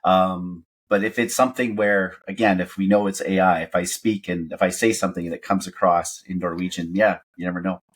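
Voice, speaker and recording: A man's voice: low voice, fairly even intonation, brisk, few pauses. A man from Canada, co-hosting a podcast in rambling, hesitant casual talk. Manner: rambling, hesitant, lots of fillers and restarts. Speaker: podcast co-host.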